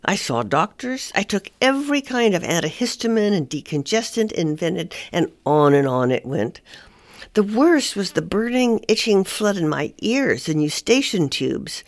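A woman's voice: mid-range voice, sing-song, a little slow, dry recording. A woman columnist reading her humorous personal essay aloud in a wry, self-mocking way. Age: older.

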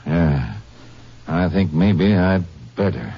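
A man's voice: low-pitched, expressive, slow, exaggerated voice. A man playing a folksy, protective rancher father with a rural American accent in a 1950s radio drama. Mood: disbelieving. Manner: folksy, plain-spoken. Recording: noisy, muffled old radio recording.

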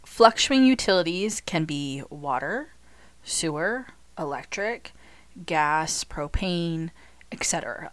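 A woman's voice: low-pitched, slow pace. Chatty woman podcast host, nasal from a cold. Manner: chatty, animated, jokey asides. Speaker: podcast host and money coach. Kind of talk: solo podcast talk.

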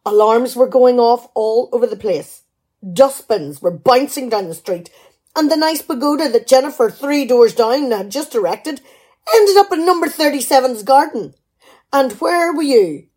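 A woman playing a nagging, exasperated, sharp-tongued middle-aged wife in a radio play. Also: high-pitched, expressive, moderate pace. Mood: exasperated ranting. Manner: exasperated, sarcastic scolding.

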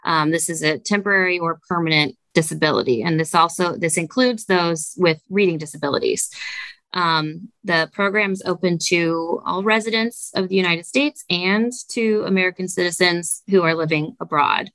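Bright-toned woman explaining in measured steps. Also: fairly low pitch, dry recording, poor audio. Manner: measured, explanatory, frequent "um" fillers. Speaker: studio director. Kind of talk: podcast interview answers.

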